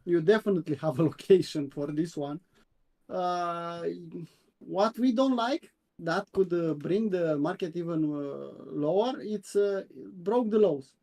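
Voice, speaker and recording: A man from Romania with a Romanian accent, reviewing crypto charts on a live stream in a halting way, with many fillers and repeats. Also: high-pitched, darkish tone. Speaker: co-host, crypto chart analyst.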